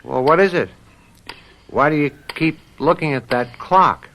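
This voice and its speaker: Bright-voiced, brisk game warden. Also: male voice, a little high, a little hiss or hum, low-quality recording. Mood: businesslike, impatient. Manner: matter-of-fact, plain-spoken. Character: brisk, businesslike country game warden, a man in a hurry. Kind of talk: old-time radio drama acting.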